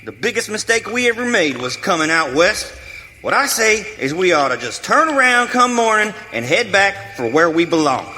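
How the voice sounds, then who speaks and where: A griping settler father in a western radio play, with a rustic American accent. His voice is high, piercing and swings widely in pitch. He talks in exasperated, folksy complaints. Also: big pitch swings, moderate pace, pushed and bright, full character voice. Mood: insistent. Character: cranky, grumbling settler father, always complaining. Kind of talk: live western radio theater acting. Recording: reverberant hall, faint background noise.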